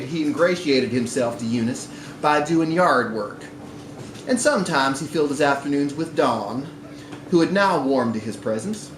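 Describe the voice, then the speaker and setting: A man reading a short story aloud expressively at a live event, indoors in a bar. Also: medium pitch. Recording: roomy, noisy live bar recording.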